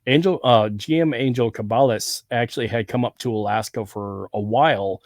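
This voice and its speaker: A man's voice: mid-range voice, no room sound, clean studio sound. A man from Southern California with a laid-back accent that has a Hawaiian touch, talking casually as a podcast host. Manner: relaxed, rambling, quick to agree. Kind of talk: casual conversation.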